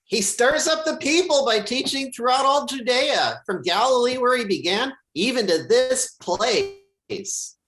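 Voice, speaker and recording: Pastor doing an expressive scripture reading, mid pitch with lively, varied intonation. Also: a little slow, poor audio. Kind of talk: dramatic scripture reading for worship.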